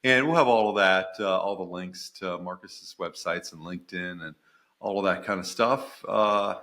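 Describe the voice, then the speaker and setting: A man hosting a podcast over a remote call, introducing his guest in a halting way full of fillers. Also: deep voice. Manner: warm, hesitant, many "uh" fillers. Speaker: podcast host.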